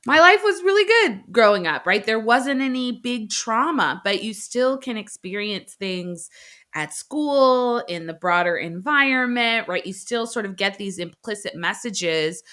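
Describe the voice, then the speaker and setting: A woman's voice: fairly high pitch, animated, a little slow, fairly bright tone. A woman hosting a podcast interview, speaking in an affirming, reflective way. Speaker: podcast host. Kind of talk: warm interview conversation.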